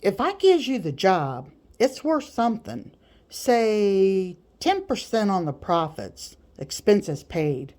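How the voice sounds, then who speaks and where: Audiobook trader, female reader playing a wheedling man who bargains and yields, soft-toned and unhurried. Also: medium pitch, big pitch swings. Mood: bargaining. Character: male slave trader, bargaining and conceding. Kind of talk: audiobook character voice.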